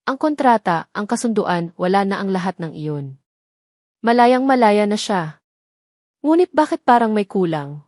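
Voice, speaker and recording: A woman's voice: medium pitch, lively intonation, measured pace, pauses often, a little mellow, no echo, clean studio sound. A woman storyteller reading scripted, dramatic narration for an audio story.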